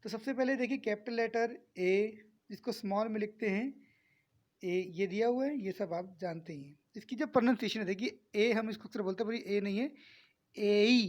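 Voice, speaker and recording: A woman with an Indian accent teaching an English lesson, explaining step by step with deliberate, drawn-out enunciation. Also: mid-range voice, darkish tone.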